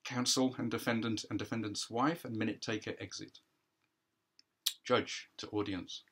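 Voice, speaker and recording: A man in his sixties gives a read-through of a play script. His voice is husky and bright, reading out stage directions. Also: on the low side, steady tone, moderate pace.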